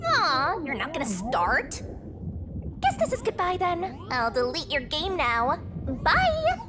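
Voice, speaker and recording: A woman's voice: high-pitched, huge pitch swings, slow, noisy background, full character voice. A woman voicing a cutesy, mischievous little spirit creature in a video game, teasing, bossy and playfully menacing. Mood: teasing, goading. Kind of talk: animated video game character acting.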